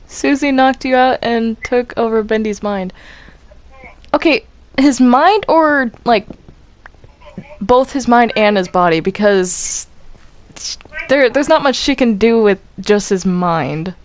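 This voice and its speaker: A woman chatting casually while gaming, giggly and rambling as she reacts aloud. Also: on the high side. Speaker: player in a Minecraft roleplay group.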